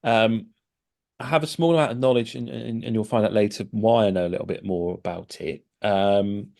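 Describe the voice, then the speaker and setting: A man's voice: lowish voice, normal speed, creaky, a little mellow. A middle-aged man hosting a casual podcast, chatty and hesitant, with many fillers and restarts. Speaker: podcast host.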